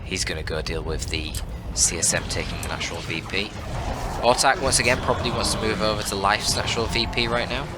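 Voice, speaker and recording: Low-voiced man casting a strategy game. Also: brisk. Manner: chatty, analytical, informal and lightly joking. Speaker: esports caster and channel host. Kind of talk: casual strategy game commentary. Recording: music behind the voice.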